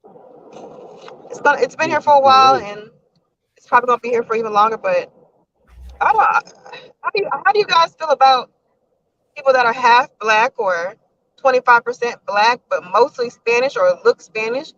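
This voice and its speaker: Casting: woman co-host, higher pitch, halting and inquisitive, lo-fi audio. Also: expressive, fairly bright tone, no room sound. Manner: questioning, halting and searching for words. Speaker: podcast co-host. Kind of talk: casual discussion show. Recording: dull lo-fi sound.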